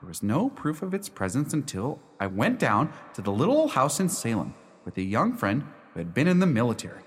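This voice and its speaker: An actor playing a droll, unruffled lawyer in a radio play, speaking in a formal, theatrical, matter-of-fact way. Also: male voice, medium pitch, huge pitch swings, brisk. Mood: formal, self-assured. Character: droll, unruffled lawyer of Scottish descent who owns a haunted house. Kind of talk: radio play storytelling and dialogue. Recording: reverberant hall sound.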